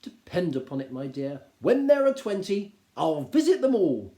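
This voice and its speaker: A man voicing a wry, teasing middle-aged husband, measured and mock-polite, reading from a classic novel. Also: high voice, sing-song, warm tone, voice pushed up. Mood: mock-soothing. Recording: roomy, echoing indoor sound.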